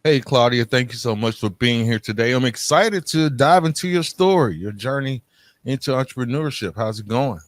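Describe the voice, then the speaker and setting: A man's voice: medium pitch, expressive, normal speed. A man hosting an interview podcast, speaking in an informal and encouraging manner. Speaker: podcast host.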